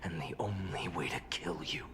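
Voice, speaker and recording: A man voicing a weary, tragic but resolute hero in a dramatic video game, speaking in a solemn, measured, resigned way. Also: deep voice, expressive. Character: weary tragic male hero, resolute, burdened by countless cycles. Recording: very loud music under the voice. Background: game music.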